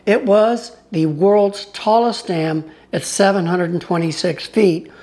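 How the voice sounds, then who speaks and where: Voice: medium pitch, a little mellow. A travel vlogger reading a scripted voice-over for a walking tour, informative and speaking to viewers as folks. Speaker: travel vlogger narrating his own tour.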